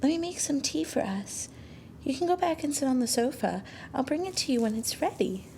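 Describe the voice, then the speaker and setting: A woman playing a sweet, doting young anime girlfriend in a soothing ASMR roleplay, affectionate and gently coaxing. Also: sits high, lively intonation, fairly quick, slight background noise. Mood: caring, attentive.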